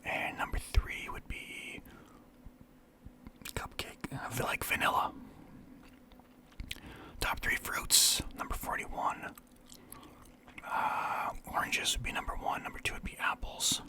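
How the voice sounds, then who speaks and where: A man's voice: takes it slowly, pauses often. A young man from Canada whispering through a casual, rambling list of favorites as a vlogger. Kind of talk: whispered ASMR list chat.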